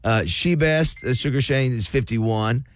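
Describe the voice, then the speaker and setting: A man's voice: mid-range voice, a little slow, no echo. Chatty man reading listener messages. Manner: chatty, restarts, reads out listener texts. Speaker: sports radio host. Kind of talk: casual sports talk reading listener messages.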